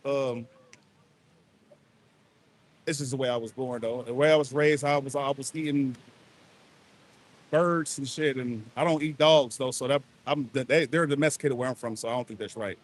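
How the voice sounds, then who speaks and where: A man from Ohio chatting casually with a street interviewer outdoors, rambling and hesitant with many pauses. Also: mid-range voice, steady tone. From Ohio, United States. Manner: hesitant, rambling, lots of ums. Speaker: passerby being interviewed. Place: outdoors at a beach.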